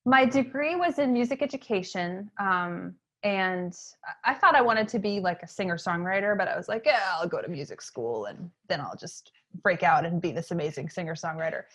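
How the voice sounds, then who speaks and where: Chatty woman from Maine, low voice. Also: moderate pace. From Maine, USA. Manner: chatty, frequent fillers, lively emphasis. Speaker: interview guest, entrepreneur. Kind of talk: casual conversational storytelling.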